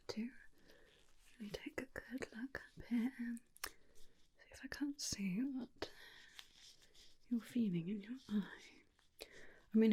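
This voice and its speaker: A woman playing a gentle, caring female vampire in an ASMR roleplay, whispering softly and soothingly with hesitant reassurances. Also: mid-range voice. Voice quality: soft breathy whisper. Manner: soothing, hesitant, repeats reassurances, calls listener darling. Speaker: ASMR performer. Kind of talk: ASMR personal-attention roleplay.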